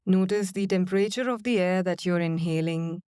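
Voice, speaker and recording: A woman guiding a body-scan and breathing meditation in slow, calm, soothing instructions with long pauses. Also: on the low side, clean tone, no echo, clean studio sound. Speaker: meditation guide. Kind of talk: guided meditation.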